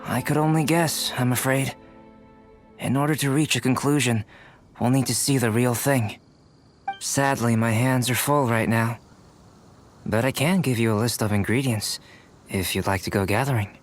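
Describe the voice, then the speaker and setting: A composed young alchemist, voiced by a man, speaks calmly in an even, mid-pitched voice. His delivery is measured, polite and thoughtful. Faint game music plays behind him. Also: natural voice. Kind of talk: video game dialogue.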